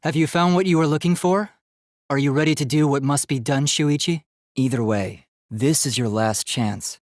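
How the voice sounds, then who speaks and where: A man's voice: fairly high pitch, normal speed, dry recording, clean studio sound, lightly acted. A man voicing an earnest, polite young male robot in a video game, speaking in formal, precise, literal-minded phrases. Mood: solemn, resolute.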